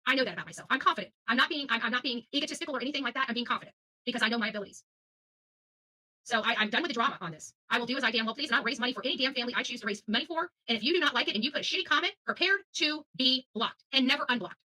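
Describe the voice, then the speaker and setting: Rapid, bright-voiced, blunt middle-aged woman. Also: a little high, poor audio. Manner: blunt, combative, salty-tongued. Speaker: host of an online channel. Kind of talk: unscripted commentary addressed to her audience.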